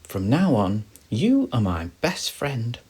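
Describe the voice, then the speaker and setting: A man voicing a small, eager young star, childlike and excitable, in a bedtime story. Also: medium pitch, takes it slowly, husky, voice pushed up, full character voice. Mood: grateful.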